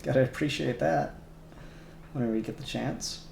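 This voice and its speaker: A man chatting casually on a livestream, muttering software settings aloud and chuckling as he works. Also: medium pitch, normal speed, creaky, roomy sound, a little hiss or hum. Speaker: streamer doing 3D render work.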